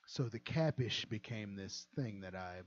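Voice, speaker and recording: Brief: young male streamer, low voice, loose and self-deprecating, slightly muffled stream audio. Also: animated, unhurried, no echo. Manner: rambling, chuckling, self-deprecating. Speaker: game modder and streamer. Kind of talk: casual livestream chat.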